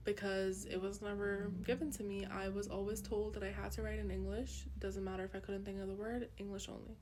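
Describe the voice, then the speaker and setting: Young woman student presenting, native Urdu speaker, earnest and reflective, flat even pitch, faint background hum. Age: young adult. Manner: earnest, reflective, with frequent ums. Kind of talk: class project presentation.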